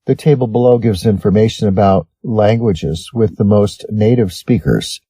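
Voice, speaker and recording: Husky, mellow American man reading aloud. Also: lowish voice, moderate pace, no room sound. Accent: Western American. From Western United States. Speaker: English test-prep reader. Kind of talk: scripted read-aloud of sample essays.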